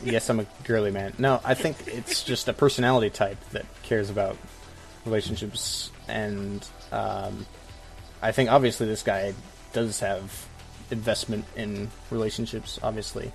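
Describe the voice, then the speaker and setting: A man's voice: lowish voice, fairly even intonation, normal speed, vocal fry, poor audio. Wry man co-hosting with music behind. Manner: wry, hedging, joking asides. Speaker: podcast co-host. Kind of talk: casual comedic advice chat.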